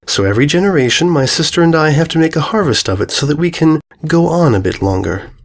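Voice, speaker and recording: A man voicing a calm, regal female pony ruler, gracious and condescending, with unfeeling menace, in a horror story. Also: fairly high pitch, quick pace, voice pushed up. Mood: reassuring, smooth. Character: serene, regal mare ruler, politely sinister and unfeeling. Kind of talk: dramatized horror fan fiction reading.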